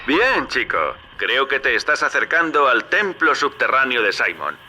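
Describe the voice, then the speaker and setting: Shrewd male guide, piercing bright tone, lively intonation, directive, video game radio messages, light crackle. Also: medium pitch, moderate pace, soft background music. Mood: instructing. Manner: familiar, directive. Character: shrewd, brisk male ally guiding the hero. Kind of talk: video game dub acting.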